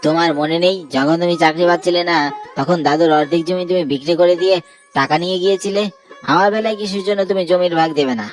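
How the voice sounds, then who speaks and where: A man's voice: sits high, fast, soft background music, natural voice. A man voicing a wronged young man in a Bengali horror cartoon, insistent and aggrieved in manner. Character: wronged young man, murdered, now a vengeful restless pond ghost.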